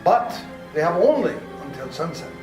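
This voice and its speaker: A man playing an earnest old vampire-hunting professor in a live radio play, speaking gravely and urgently. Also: fairly high pitch, very animated, moderate pace. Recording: roomy hall with music behind.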